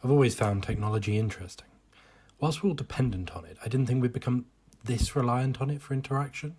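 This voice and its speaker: A man playing someone fed up with pressure, delivering a scripted diary monologue with a husky voice. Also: mid-range voice, fast talker, darkish tone, close to an everyday voice. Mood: musing.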